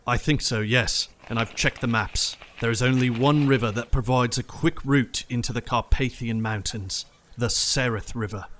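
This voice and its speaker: A man's voice: medium pitch, fairly even intonation, normal speed, hardly any pauses, fairly bright tone, close to an everyday voice. An actor playing a careful, methodical young man reasoning through a plan in an audio drama, with faint background noise. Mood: serious.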